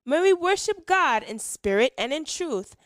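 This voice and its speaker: A woman reading a scripted devotional in a measured, reverent manner. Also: high voice, very animated, no echo, clean studio sound. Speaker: devotional reader and hymn singer.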